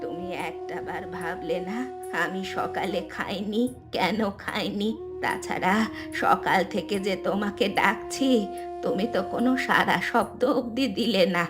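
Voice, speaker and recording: A woman voicing a sick, aggrieved old mother-in-law in a cartoon folk tale, with a weak, drawn-out voice. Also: mid-range voice, music in the background, voice pushed down, close to an everyday voice. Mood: hurt, reproachful. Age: older.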